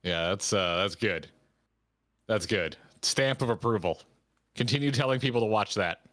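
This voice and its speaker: A man's voice: on the low side, expressive, normal speed, fairly bright tone, no room sound. Casual middle-aged man, dry close sound. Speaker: co-host of a gaming reaction stream. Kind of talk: casual reaction commentary.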